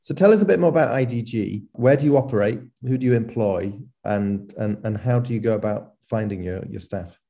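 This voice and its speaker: A man interviewing a guest, asking questions in a halting way with repeated words. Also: on the low side, vocal fry, mellow.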